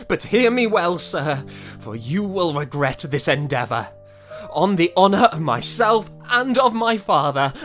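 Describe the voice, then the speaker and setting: An actor plays a young period gentleman, proud and passionate, in a formal theatrical audio drama style. He speaks slowly and deliberately at a medium pitch, with music underneath. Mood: indignant, defiant. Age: young adult.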